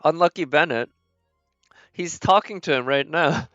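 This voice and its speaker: A man's voice: on the high side, measured pace, fairly bright tone, clean tone, no room sound, dull audio, soft background music. A man giving casual, rambling gameplay commentary as a streamer, thinking aloud as he plays. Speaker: game streamer.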